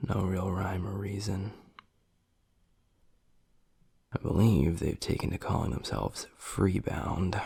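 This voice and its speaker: A deep, heavily creaky male werewolf alpha, acted by a man, speaks in an intimate roleplay. He is blunt and dry, with a protective, tender streak under the gruffness. The recording is close and dry. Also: no room sound, heavily acted. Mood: matter-of-fact. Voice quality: husky, gravelly, breathy low voice. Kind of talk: intimate boyfriend audio roleplay, close and quiet.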